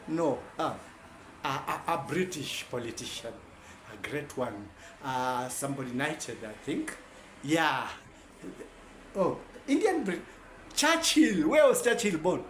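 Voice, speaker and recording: A man's voice: on the high side, echoey room, a little hiss or hum. A man giving a rambling, self-correcting informal lecture.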